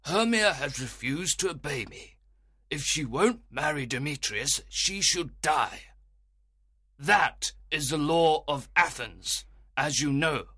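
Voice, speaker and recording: A man's voice: on the high side, lively intonation, slow pace, bright, forceful tone, slightly rough, dry recording, clean studio sound, voice pushed up, exaggerated voice. A man voicing a stern old father who insists on being obeyed, in a storybook reading. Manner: insistent, aggrieved.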